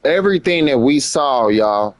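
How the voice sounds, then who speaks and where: Male American streamer, mid pitch, casual and slangy, repeats for emphasis, close dry recording. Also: expressive, normal speed, dry recording. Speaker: live streamer. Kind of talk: casual livestream commentary chat.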